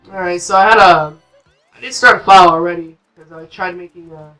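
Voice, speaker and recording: A woman's voice: fairly low pitch, a little fast, fairly bright tone, faint music behind, low-quality recording. A woman giving casual, rambling, unscripted commentary as host of a gameplay video. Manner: rambling, informal.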